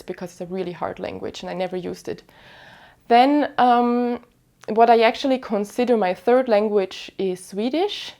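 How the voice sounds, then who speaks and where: A woman's voice: medium pitch, a little mellow. A woman in her thirties from western Austria, a native Alemannic German speaker with an Austrian accent, chatting casually and reflectively as a podcast host, a little self-deprecating. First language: Vorarlbergerisch Alemannic German. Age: 30s. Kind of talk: casual knitting vlog chat.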